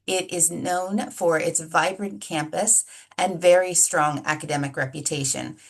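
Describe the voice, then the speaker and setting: A woman hosting, reading a scripted introduction smoothly and then asking a guest questions. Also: lowish voice. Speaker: interviewer.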